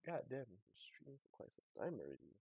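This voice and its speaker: A man live streaming a game, chatting casually and haltingly, muttering to himself and to his chat. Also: mid-range voice, huge pitch swings, gravelly, dark tone, slightly rough, no room sound, low-quality recording.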